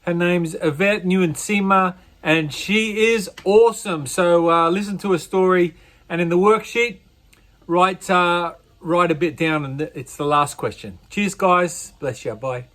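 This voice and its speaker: Relaxed pastor explaining tasks. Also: male voice, high-pitched, measured pace. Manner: relaxed, conversational, with fillers and restarts. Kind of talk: instructional talk explaining an honour's requirements.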